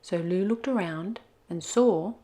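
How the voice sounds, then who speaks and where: A woman's voice: on the low side, measured pace, background noise. A woman narrating a children's picture book expressively, as a read-aloud host.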